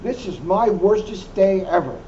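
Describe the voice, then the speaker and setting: A man's voice: sits high, lively intonation, moderate pace, dark tone, lo-fi sound, slight background noise. A man doing a sulky, grumpy little turtle boy. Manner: sulky, pouting. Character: grumpy young male turtle child.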